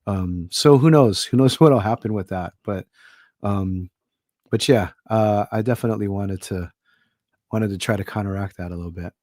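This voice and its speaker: A man's voice: fairly low pitch, normal speed, a little mellow, no room sound, studio quality. A middle-aged man from Hawaii, an author guesting on a podcast, talking in a thoughtful, rambling way with many fillers. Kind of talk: casual podcast conversation.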